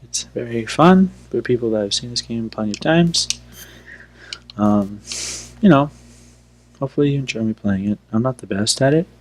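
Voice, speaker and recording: A man's voice: mid-range voice, expressive, moderate pace, long pauses. A man giving casual, informal game commentary with a self-deprecating manner. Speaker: video game player and host. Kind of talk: casual live play-through commentary. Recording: clearly noisy home recording with hum.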